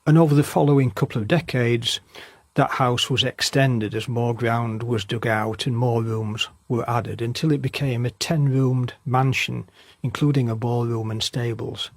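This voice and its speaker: A man with a husky, creaky voice giving measured documentary-style narration of local history. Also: medium pitch, fairly even intonation, dry recording.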